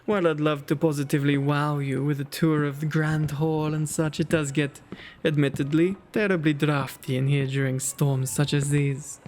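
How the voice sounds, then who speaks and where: A man's voice: on the high side, level tone, normal speed, a little mellow, soft background music, close to an everyday voice. A man playing a kind, genteel vampire host in an ASMR roleplay, with doting, courteous, old-fashioned phrasing. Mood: cozy, doting.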